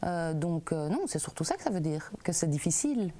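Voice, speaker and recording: A woman's voice: sits low, sing-song, fairly quick, dark tone, a little hiss or hum. A woman from an advocacy association answering interview questions, thinking aloud and searching for words. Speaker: association worker.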